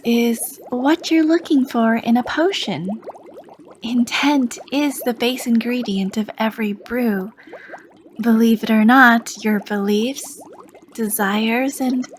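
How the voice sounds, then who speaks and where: A woman playing a charming, sly potion shopkeeper, talking slowly and softly with a teasing edge and dipping into whispers. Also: a little high, slight background noise, lightly acted. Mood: welcoming. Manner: slow, soft-spoken, teasing, drifting into whispers. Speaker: ASMR roleplay performer. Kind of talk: ASMR roleplay.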